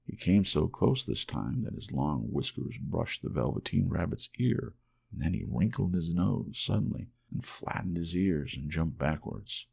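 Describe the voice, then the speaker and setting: Deep, gravelly man reading a storybook. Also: lively intonation, unhurried, a little mellow. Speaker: audiobook narrator. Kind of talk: ASMR storybook narration.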